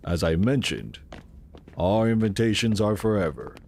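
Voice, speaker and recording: An actor playing the assured founder of a futuristic secret city in an audio drama, courteous, reassuring and persuasive. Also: male voice, lowish voice, expressive, normal speed, creaky, darkish tone, a little hiss or hum.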